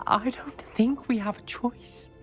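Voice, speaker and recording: A man's voice: very high-pitched, slow pace. A man playing a grave, conscientious teenage schoolboy wizard in a dramatic video game, solemn and formal, with music behind. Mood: troubled.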